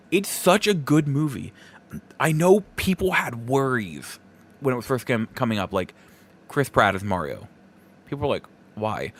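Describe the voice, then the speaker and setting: Animated man with a higher-pitched voice. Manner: informal and conversational, emphatic about opinions. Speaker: podcast host. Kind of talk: casual opinionated solo podcast talk.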